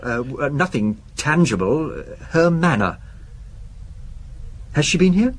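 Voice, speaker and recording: An actor playing a nervous, obsessive husband in a radio drama, speaking hesitantly and apologetically yet with stubborn insistence. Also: male voice, fairly high pitch, big pitch swings, unhurried, slight background noise. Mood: anxious, apologetic. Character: nervous, obsessive shop-employee husband fearing poisoning.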